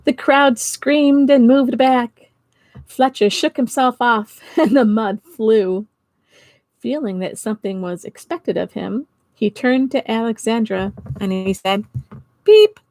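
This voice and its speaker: A middle-aged or older woman reading a storybook to children, expressive and giggly, pausing to chat with her listeners. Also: on the high side, no echo, clean studio sound. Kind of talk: storytime read-aloud for children. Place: small indoor home office.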